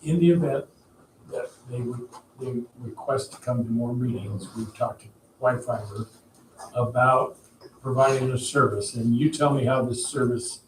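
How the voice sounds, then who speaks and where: A man's voice: mid-range voice, normal speed. A man in a council meeting asking direct, businesslike questions about costs. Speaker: council official. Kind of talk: meeting discussion. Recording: echoey room, far mic, background noise.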